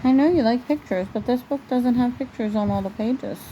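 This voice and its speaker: A woman's voice: fairly high pitch, brisk, dark tone, highs cut off. A woman reading a children's storybook aloud at home, as a parent would at bedtime. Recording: faint background noise, home recording.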